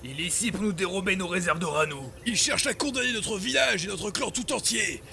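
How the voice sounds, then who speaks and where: High, creaky, hostile clan villager. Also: male voice, a little fast, crowd noise. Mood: furious, accusing. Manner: accusing, menacing, declamatory. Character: hostile, suspicious male villager of primitive clan. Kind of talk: French audio drama acting. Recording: music under the voice.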